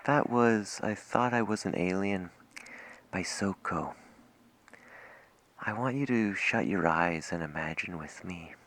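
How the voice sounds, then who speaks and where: Soft-spoken, low, slow-talking man. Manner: soothing, unhurried, intimate. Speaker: late-night radio DJ host. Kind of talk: soft-spoken ASMR radio DJ roleplay.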